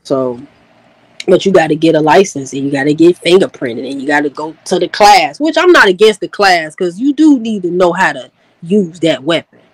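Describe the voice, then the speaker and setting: A woman's voice: on the low side, big pitch swings, normal speed, husky, no room sound, low-quality recording. A woman hosting a casual livestream chat, laughing easily and talking in loose slang. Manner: laid-back, laughing, slangy storytelling. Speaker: host.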